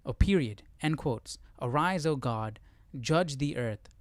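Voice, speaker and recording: A man's voice: mid-range voice, expressive, slow pace, no room sound. A man hosting a live Bible study, reading aloud and thinking through it in casual talk.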